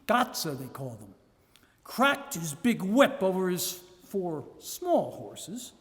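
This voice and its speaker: Echoey hall, high male voice, slow dramatic reading, gothic novel, swooping intonation, deliberate pauses. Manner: theatrical, measured delivery with dramatic pauses. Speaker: reader. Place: live public reading in a reverberant room. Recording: echoey hall with long reverb.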